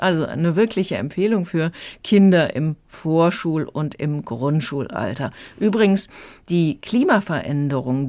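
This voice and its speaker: Female radio reviewer: deep for a woman, explanatory, studio-dry delivery. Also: a little mellow, dry recording. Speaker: reviewer of children's audiobooks. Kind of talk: radio review, retelling in plain words.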